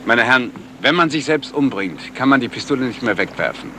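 An actor playing a self-assured, pedantic detective sergeant who lectures emphatically, in the style of a 1970s radio crime play. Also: male voice, medium pitch, animated, a little fast, pushed and bright. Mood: confident. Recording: faint noise, outdoor boat ambience.

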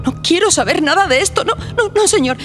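A man's voice: very high voice, expressive, brisk, a bit bright, soft background music. A man in a radio play voicing a frightened, agitated man who refuses to get involved. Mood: frightened, refusing. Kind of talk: live radio theatre drama.

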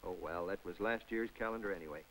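A man's voice: deep voice, quick pace, fairly bright tone, rough recording. A man narrating a public information film in a scripted, chatty voice-over with folksy asides to the viewer. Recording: old film soundtrack with faint music.

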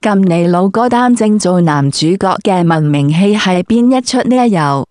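A woman's voice: mid-range voice, lively intonation, moderate pace, a little mellow, no echo, clean studio sound. A woman with a Cantonese accent putting brash, slangy questions as an interviewer. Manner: brash, crude street slang.

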